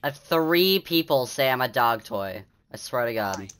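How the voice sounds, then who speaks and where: Squeaky, bright-voiced teen boy streamer. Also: unhurried, a little hiss or hum. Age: teens. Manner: excitable, bickering with friends. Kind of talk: casual gaming chat with friends.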